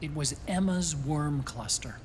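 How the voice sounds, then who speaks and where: An actor playing an earnest, thoughtful scientist with an American accent, explaining things gently in a video game drama. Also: male voice, on the high side, animated, measured pace, a little hiss or hum. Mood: reflective.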